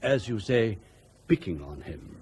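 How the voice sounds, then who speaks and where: A man's voice: on the low side, sing-song, measured pace, a little mellow, a little hiss or hum. An actor playing a politely evasive British schoolmaster in a radio drama, formal, hesitant and roundabout in his speech. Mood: awkward, tactful.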